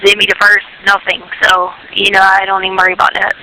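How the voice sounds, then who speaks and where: A woman's voice: medium pitch, completely flat delivery, quick pace, pushed and bright, slight background noise. A woman chatting casually on a prison phone call, explaining things in a rambling way and checking that the listener follows. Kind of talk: casual personal phone chat. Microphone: telephone handset.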